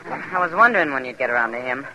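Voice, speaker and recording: A woman's voice: a bass voice, animated, quick pace, bright, forceful tone, slight background noise, full character voice, poor audio. A woman playing a frank, worldly saloon woman in a 1950s radio western, knowing and direct.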